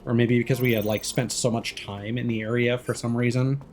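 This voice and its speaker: A man's voice: mid-range voice, steady tone, brisk, a little hiss or hum. A man streaming a video game with casual, musing and mildly critical live commentary. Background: video game music.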